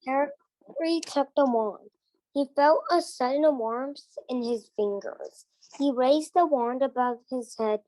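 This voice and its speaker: A woman's voice: a little high, a little mellow, no echo, poor audio. A young girl reading a storybook aloud as a student in a reading club.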